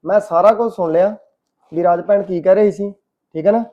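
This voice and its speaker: A man's voice: high-pitched, a little fast, muffled recording, close to an everyday voice. A man playing an indignant, protective husband in a family drama, firm and insistent. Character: indignant, protective husband, visiting brother-in-law. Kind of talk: Punjabi domestic drama dialogue.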